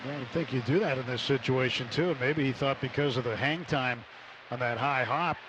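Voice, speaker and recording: A man's voice: medium pitch, brisk, noisy background. An American man commentating live on a baseball game for radio. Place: stadium broadcast booth. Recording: loud stadium ambience on radio broadcast. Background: loud ballpark ambience.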